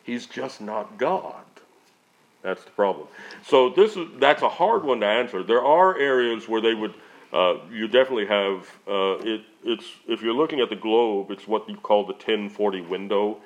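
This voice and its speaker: Low-voiced man, rambling earnest talk. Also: normal speed. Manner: rambling, frequent restarts. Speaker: missionary speaker. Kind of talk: answering audience questions. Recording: dull lo-fi audio.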